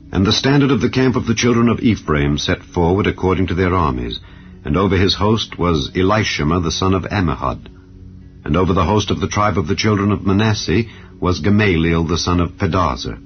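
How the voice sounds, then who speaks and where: A man reads scripture as a dramatized narration, with a solemn, measured delivery. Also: deep voice. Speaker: Bible narrator. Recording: dull, band-limited audio with background music. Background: background music.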